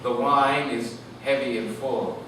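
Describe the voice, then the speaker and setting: Man, author reading aloud, lyrical, deliberate, expressive, mid-pitched, distant and very echoey live recording. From Canada. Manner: animated, lyrical, deliberate phrasing. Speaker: author reading own work. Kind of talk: expressive literary reading to an audience. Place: live reading event in a room. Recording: echoey noisy room, distant mic.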